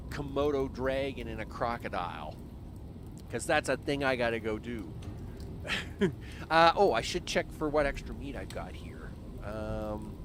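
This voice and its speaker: A man in his early fifties streams a game live, chatting loosely to himself and his viewers with plenty of "uh" and "you know". His voice sits at a medium pitch, and steady noise hums under the recording. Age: 50s. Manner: rambling, chatty, talks to himself and chat with lots of fillers. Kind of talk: casual gameplay commentary.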